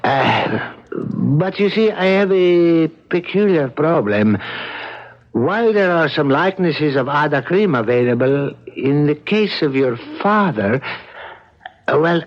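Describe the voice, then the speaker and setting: An actor playing an eager, ingratiating sculptor in a vintage radio drama, hesitant and excessively polite. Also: male voice, on the high side, lively intonation, takes it slowly. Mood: apologetic, coaxing. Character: eager, wheedling sculptor, politely scheming man. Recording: dull lo-fi old radio recording.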